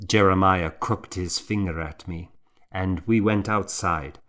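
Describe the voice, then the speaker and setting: A man reads a historical novel aloud in a low voice. His delivery is slow and measured, with a dramatic storyteller's weight on the first-person account. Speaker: audiobook narrator.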